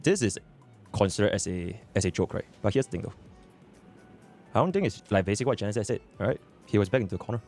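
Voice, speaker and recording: A man's voice: lowish voice, lively intonation, quick pace, a little mellow, soft background music. A man explaining himself in a rambling, hesitant way, full of fillers and restarts. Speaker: content creator in a clip.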